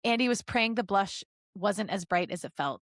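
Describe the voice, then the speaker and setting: A woman podcast host reading fan fiction aloud as an expressive story narrator. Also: mid-range voice, normal speed, no room sound, studio quality.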